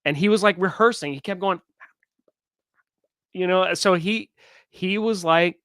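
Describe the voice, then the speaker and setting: A man co-hosting a casual livestream, telling stories with animated energy and teasing his partner. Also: high voice, unhurried, dry recording, clean studio sound. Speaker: livestream co-host.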